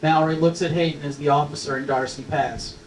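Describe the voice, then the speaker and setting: A man's voice: a little high, fairly even intonation, quick pace. Guy reading script narration at a table read, echoey, bit far from mic, some noise. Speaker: stage directions reader. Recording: roomy, distant mic, faint noise.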